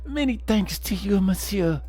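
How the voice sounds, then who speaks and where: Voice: medium pitch, lively intonation, a little slow, mellow, faint music behind, voice pushed up, natural voice. A man voicing a poor, sickly mother in a game, begging politely. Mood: grateful. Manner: pleading, polite.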